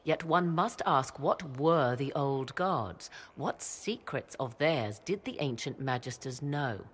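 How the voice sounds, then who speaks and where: An actress voicing a mysterious, secretive mage mother in a video game, speaking in a measured, formal, archaic way. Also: very deep voice, lively intonation, husky, exaggerated voice. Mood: grave. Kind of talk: video game cutscene dialogue.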